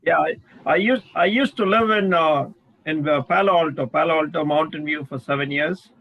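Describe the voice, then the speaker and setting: A man introducing himself on a video call, speaking haltingly with many restarts. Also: a little high, gravelly, dull audio. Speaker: real estate investor.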